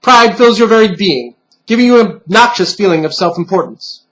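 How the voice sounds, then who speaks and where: A stern little bunny pin from a storybook , acted out by a man, speaks in formal, condescending lectures. The higher-set voice is solemn and patronizing, like a tutor to a child. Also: lively intonation, brisk, a bit bright, poor audio. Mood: stern lecturing.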